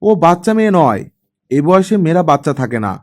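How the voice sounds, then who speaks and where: A man's voice: on the high side, sing-song, fast. A man voicing a stern, guarded young woman, an elder sister with a curt, admonishing manner, in an audiobook story. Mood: curt, irritated.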